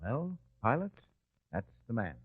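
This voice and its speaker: A smug, cunning priest. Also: male voice, medium pitch, sing-song, measured pace, slightly rough, dry recording. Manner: smug, scheming. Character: cunning high priest who bribed a mob. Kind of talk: old-time radio drama acting. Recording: tinny phone-like lo-fi recording.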